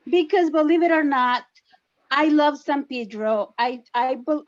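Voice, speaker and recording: A woman's voice: high-pitched, lo-fi sound. A woman from El Salvador with a Salvadoran Spanish accent, speaking insistently and defensively as a public commenter at an online town hall. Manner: insistent and defensive, repeats points.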